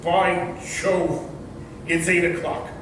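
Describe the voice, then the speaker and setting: A stuffy, stubborn rich uncle, acted by a man, speaks formally and very slowly in a stage comedy. The voice is a little high and comes from far off in a very echoey, noisy room. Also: flat delivery. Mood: affronted. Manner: formal, deliberate phrasing. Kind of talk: staged readers theater comedy. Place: large room or hall, distant microphone.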